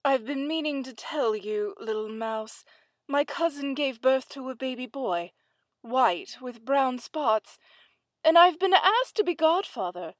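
A woman's voice: high-pitched, expressive, a little slow, dry recording. A woman voicing a sly, greedy male cat in a fairy tale, with a dry, offhand drawl. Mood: coaxing.

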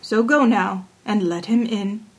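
A woman voicing a stern, fatherly king in a fairy tale, speaking in a measured, commanding way. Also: medium pitch. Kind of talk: fairy tale storybook character voice.

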